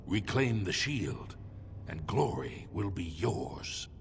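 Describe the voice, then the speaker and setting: A man's voice: sits low, sing-song, slow pace, creaky, heavily acted. An actor playing a stern old dwarf king in a fantasy game, solemn and commanding. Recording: echoing hall, ambient game noise.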